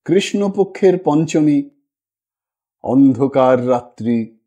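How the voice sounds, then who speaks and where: A man's voice: mid-range voice, expressive, slow pace, warm tone, echoey room, lo-fi sound. A man narrating a literary story as an audiobook reader, in a dramatic style.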